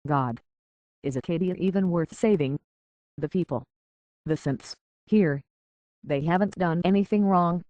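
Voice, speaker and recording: An actress playing an earnest, troubled young woman searching for answers in a video game, speaking in a halting, hushed way. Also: on the low side, dry recording, muffled recording, close to an everyday voice. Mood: troubled, pleading.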